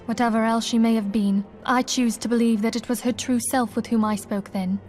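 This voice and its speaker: A woman's voice: fairly high pitch, steady tone, brisk, darkish tone, faint music behind, close to an everyday voice. An actress playing a composed, earnest woman who leads an order, speaking formally and solemnly in a fantasy game. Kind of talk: scripted fantasy game dialogue.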